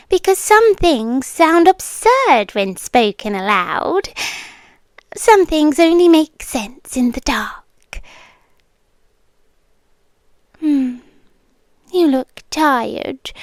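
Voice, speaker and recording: A British woman voicing a possessive, softly menacing serpent monster girlfriend in an intimate ASMR roleplay, often slipping into whispers. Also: sits high, dry recording, heavily acted. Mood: probing, possessive. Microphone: close microphone, dry.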